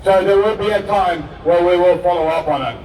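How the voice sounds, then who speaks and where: High-voiced man addressing a rally crowd. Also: a little mellow. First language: Arabic. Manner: measured, earnest address to a crowd. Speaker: rally speaker and emcee. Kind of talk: public rally speech. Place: outdoor protest rally. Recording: echoey rally PA from far off with crowd noise.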